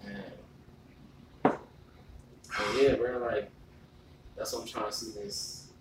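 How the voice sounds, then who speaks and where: Low-pitched male guest, earnest slang, roomy and noisy. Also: takes it slowly. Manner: earnest street slang, ends lines with "bro". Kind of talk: casual chat. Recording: echoey room, far from the mic, steady noise.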